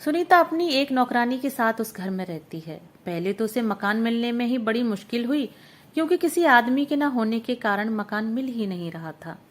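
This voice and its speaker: Woman reading a literary story aloud. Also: fairly high pitch. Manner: steady, measured storytelling. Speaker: audiobook narrator.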